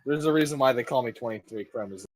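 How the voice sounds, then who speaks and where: A man's voice: medium pitch, fast talker, no room sound. A young man hosting a casual comedy podcast, rambling and joking at his own expense. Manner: goofy, rambling, self-deprecating jokes. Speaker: podcast host.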